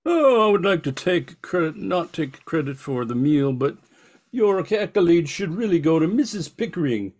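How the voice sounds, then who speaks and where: A wealthy, reclusive uncle played by a man in a radio drama. His voice sits a little high, with a genial, courteous air. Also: very animated, moderate pace, a little mellow. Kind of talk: amateur radio play acting.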